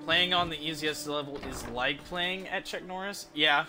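A man's voice: on the high side, normal speed. A young man chatting casually and irreverently as he live-streams a video game. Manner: irreverent, swearing. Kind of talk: casual live stream chat over gameplay. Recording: game music behind.

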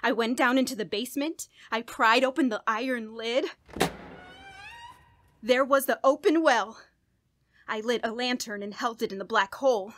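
An actress playing a guilt-ridden, unraveling woman in a radio horror play, telling her story in a halting, dramatic way. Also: fairly high pitch, a bit bright, close to an everyday voice. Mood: tense, recounting. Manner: halting, repeating words, breaking into nervous laughs and gasps. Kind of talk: dramatic first-person horror monologue in old-radio style.

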